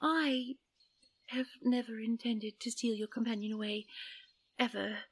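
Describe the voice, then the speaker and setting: A woman's voice: on the high side, steady tone, unhurried, husky. A woman voicing a young fae in an audio roleplay, earnest and timid, with a hesitant, deferential, pleading manner.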